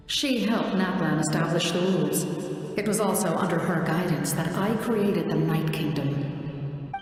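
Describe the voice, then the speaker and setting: A woman's voice: fairly low pitch, a little mellow. A woman playing an ancient divine ruler in a video game, formal and gracious, with a stately delivery and heavy reverb. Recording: long echoing reverb.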